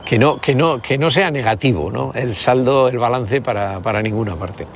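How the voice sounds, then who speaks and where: A man's voice: medium pitch, normal speed, gravelly, slight background noise. A man in his sixties from the Country, speaks as a politician guest in an interview, reflective and full of hesitations and restarts. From Basque Country, Spain. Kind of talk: conversational interview.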